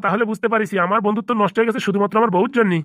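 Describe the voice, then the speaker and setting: A man's voice: high voice, fairly even intonation, very fast, fairly bright tone, no room sound. A man voicing a friendly, slightly bossy male cartoon doctor who talks informally and familiarly, with a sentimental streak. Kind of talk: comedy cartoon dubbing in colloquial dialect.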